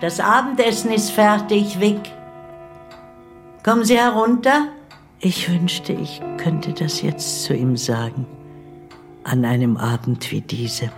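Old nanny ghost lady, tender hushed whispery, takes her time, radio drama with soft music under it. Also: lowish voice, takes it slowly, long pauses. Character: ghost of a devoted old nanny and servant woman. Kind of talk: German radio play narration.

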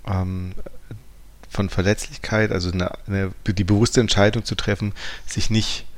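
Man, fairly low pitch, podcast host, reflective discussion, halting word search. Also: little pitch movement.

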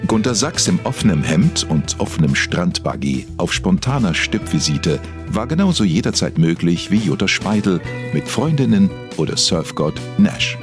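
A man playing a solemn documentary narrator, delivering scripted lines in a weighty, dramatic way with a husky voice. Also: deep voice, big pitch swings, normal speed, music in the background. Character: male documentary narrator, solemn, mock-investigative.

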